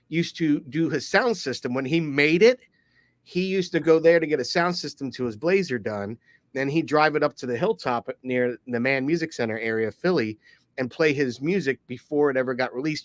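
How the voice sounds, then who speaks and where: A man's voice: a little high, dry recording. Rambling middle-aged man from Philly. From Philadelphia. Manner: rambling storyteller, quick asides. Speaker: podcast co-host. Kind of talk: casual podcast chat.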